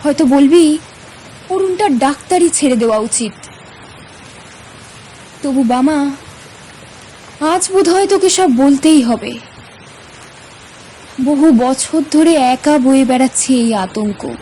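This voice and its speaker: A woman voicing a troubled male doctor in a horror audio story, speaking gravely and reluctantly with dramatic dread. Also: high voice, animated, slow, pauses often, mellow, a little hiss or hum, rough recording. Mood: anguished confession. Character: troubled, haunted middle-aged male doctor confiding dread.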